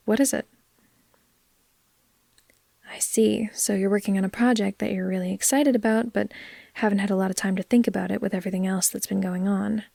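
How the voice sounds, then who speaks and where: A woman playing a caring, gently teasing vampire in a soft, unhurried, comforting ASMR roleplay, sometimes slipping toward a whisper. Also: mid-range voice, creaky, dry recording, studio quality. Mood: soothing.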